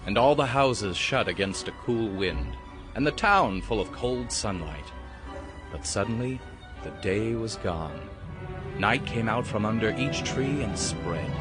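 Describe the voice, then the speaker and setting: A man's voice: medium pitch, close to an everyday voice. A man giving dramatic, scripted storybook narration in an audio drama. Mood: evocative, then hushed, suspenseful. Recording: music behind the voice.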